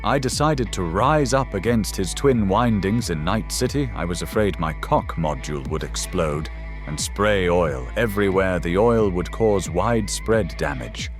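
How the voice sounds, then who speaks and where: Deep-voiced man reading a story dramatically. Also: animated, measured pace, soft background music. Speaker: guest narrator.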